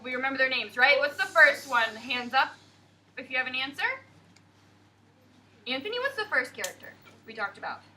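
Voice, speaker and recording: A young woman teacher reading a picture book aloud to a class in an expressive, sing-song way and asking questions. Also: sits high, moderate pace, pauses often, recorded from a distance, slight background noise.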